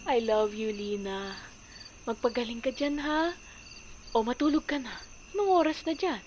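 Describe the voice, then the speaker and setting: A woman's voice: a little high, normal speed, a little mellow, a little hiss or hum, natural voice. A woman playing a loving, apologetic working mother in a sentimental audio drama, soothing and emotional, with a Filipino accent. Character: loving, apologetic working mother far from her sick daughter.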